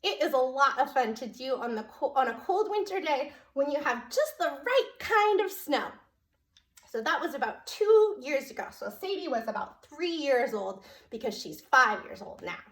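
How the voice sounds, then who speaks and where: A woman's voice: sits high, sing-song, normal speed, fairly bright tone. A woman telling a story to preschoolers as a children's librarian, in a sing-song, child-directed way with frequent questions. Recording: roomy echo.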